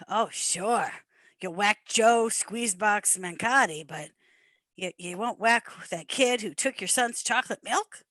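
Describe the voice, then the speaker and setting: A woman's voice: medium pitch, a little slow, a bit bright, dry recording, close to an everyday voice. A woman playing an eager, chatty, insistent restaurant sidekick to a mobster in an amateur comic radio play. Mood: needling.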